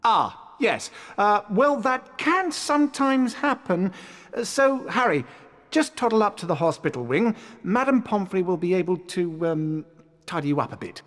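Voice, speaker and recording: A British actor playing a flustered, breezy wizard teacher in a video game, hesitant and airily blustering. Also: male voice, high-pitched, expressive, measured pace, a bit bright. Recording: reverberant hall sound.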